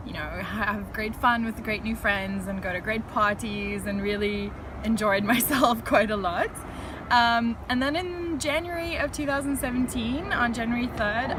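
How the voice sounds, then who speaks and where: A woman's voice: fairly high pitch, background noise. A woman in her thirties from South Africa, telling her story as a guest in a casual interview, frank and chatty. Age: 30s. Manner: frank, chatty, laughs lightly while recounting.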